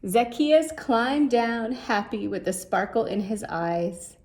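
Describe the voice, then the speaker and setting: A woman reading a rhyming children's story aloud in a measured, expressive way. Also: fairly high pitch. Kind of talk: scripted storybook narration in rhyme.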